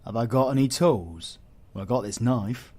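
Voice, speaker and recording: Man acting a cocky British supervillain, swooping pitch. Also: lively intonation, moderate pace, a little mellow, close to an everyday voice. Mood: grudging. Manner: crude, teasing, sarcastic, heavy slangy swearing. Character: cocky, foul-mouthed, wisecracking male supervillain. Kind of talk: audio roleplay acting addressed to the listener.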